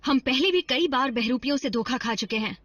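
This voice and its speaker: A woman voicing a no-nonsense robot warrior in an animated series dub, blunt and suspicious. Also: sits high, fairly quick. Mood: blunt, unimpressed.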